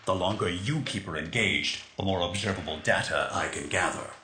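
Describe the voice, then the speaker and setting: An actor voicing a calm, methodical tech genius of a rebel crew in a video game, speaking in a measured, analytical way. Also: male voice, sits low, a little hiss or hum. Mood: calm, focused. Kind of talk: video game character acting. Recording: echoing voice under loud music.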